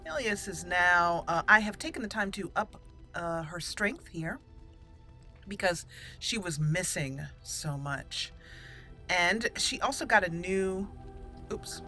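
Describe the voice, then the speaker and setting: A woman with a slightly low but bright, forward voice hosts a game walkthrough. She chats casually and playfully, thinking aloud as faint game music plays behind her. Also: lively intonation, slow pace. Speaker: gaming video host.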